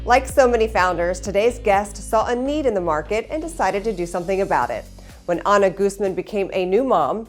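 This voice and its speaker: A woman hosting an interview show, reading a scripted introduction and asking questions in an upbeat, affirming way. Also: mid-range voice. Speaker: host.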